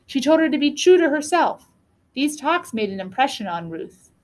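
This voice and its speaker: A woman reading a children's book aloud as a storyteller, with deliberate, expressive phrasing. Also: sits high. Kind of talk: read-aloud storybook narration.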